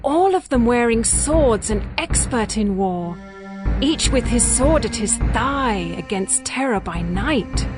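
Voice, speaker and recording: An actress playing a young, ardent bride in a dramatized scripture reading, speaking in a measured, lyrical and earnest way. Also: female voice, on the high side, lively intonation, music in the background. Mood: awed, proud. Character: young bride longing for her beloved, ardent and poetic.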